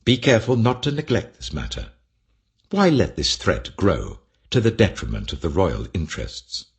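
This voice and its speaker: A man's voice: low voice, sing-song, moderate pace. A British-accented man voicing a stern, commanding king dictating a formal decree in a scripture audiobook. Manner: imperious, formal.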